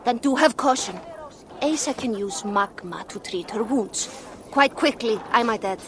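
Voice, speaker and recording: An actress playing a dryly savage, cautious informant in a video game, speaking deliberately. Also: female voice, a little high, lively intonation, slight background noise. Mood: wry, cautioning. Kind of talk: video game cutscene acting.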